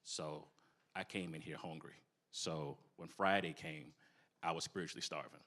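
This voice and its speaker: Very deep-voiced man giving testimony. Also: steady tone, moderate pace, a bit bright. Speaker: church member giving a testimonial. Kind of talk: earnest spoken testimonial.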